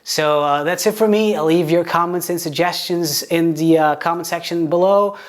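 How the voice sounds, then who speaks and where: A man whose first language is Portuguese, speaking English with a Portuguese accent, teaching pronunciation in a video lesson and demonstrating words slowly. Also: fairly high pitch. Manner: explains with examples, slow deliberate demonstration words, some stammered restarts.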